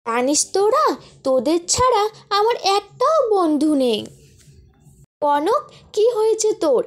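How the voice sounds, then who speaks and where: A woman's voice: very high voice, very animated, unhurried, voice pushed up, full character voice. A woman voicing a kind, caring young girl in a cartoon folk tale. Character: kind, generous young girl who feeds birds.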